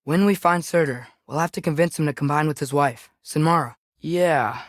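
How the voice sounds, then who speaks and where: An earnest, curious teenage boy played by a young man from a fantasy video game. His voice sits fairly high for a male and sounds youthful and conversational. The recording is close and dry. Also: moderate pace, dry recording, close to an everyday voice. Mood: serious, reflective. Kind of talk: naturalistic video game voice acting.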